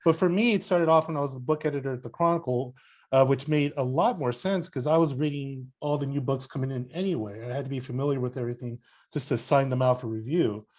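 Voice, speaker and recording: A man's voice: medium pitch, fast, darkish tone. A man, a literary editor and critic, answering interview questions in an easygoing way with fillers and self-deprecating humor.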